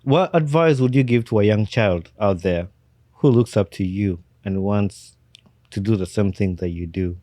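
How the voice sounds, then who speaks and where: A man from Uganda, hosting a podcast and telling his own story in casual, animated chat. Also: fairly low pitch, normal speed, dry recording, clean studio sound. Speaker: podcast host.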